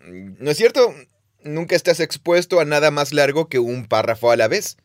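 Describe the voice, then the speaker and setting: A man's voice: fairly high pitch, sing-song, moderate pace, dry recording, clean studio sound. A man co-hosting a casual conversation, explaining ideas at length and murmuring agreement while his partner talks. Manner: explanatory, thinks aloud with frequent agreeing murmurs. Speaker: podcast co-host, Bible teacher.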